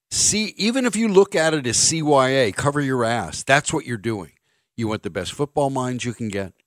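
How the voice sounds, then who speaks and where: A man's voice: medium pitch, no room sound. A middle-aged man hosting sports talk radio from a studio mic, blunt and sarcastic as he reads listener texts and gives his opinions. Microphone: studio microphone.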